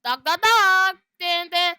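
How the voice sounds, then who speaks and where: A performer voicing a lovesick, boastful, foul-mouthed cartoon tomcat with a high, piercing voice and an excitable, stammering delivery. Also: takes it slowly, heavy background noise. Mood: excited. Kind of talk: improvised comedic cartoon fandub.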